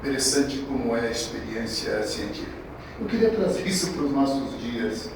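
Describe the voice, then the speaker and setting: A man's voice: medium pitch, expressive, brisk, vocal fry. A man in his sixties from Brazil preaching a sermon in a conversational, anecdotal way, restarting his phrases often. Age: 60s. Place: large echoey hall. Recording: echoey hall, distant mic, background noise.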